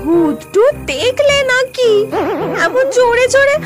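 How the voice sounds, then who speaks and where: A woman voicing an anxious, doting village wife in a cartoon, with melodramatic, drawn-out rustic speech. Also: very high voice, sing-song, exaggerated voice. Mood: worried. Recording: loud music behind the voice. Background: loud music.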